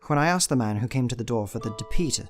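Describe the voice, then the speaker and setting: An actor playing an earnest, determined young Victorian gentleman, narrating a journal in a formal, measured way in an audio drama. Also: mid-range voice, animated, faint music behind, close to an everyday voice.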